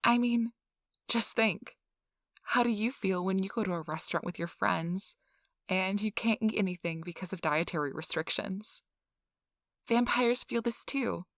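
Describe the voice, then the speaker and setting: A woman's voice: lowish voice, no room sound, studio quality, lightly acted. A woman playing a cheerful, earnest donor recruiter in an ASMR role-play, with a polite, reassuring customer-service manner. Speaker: donor acquisition interviewer.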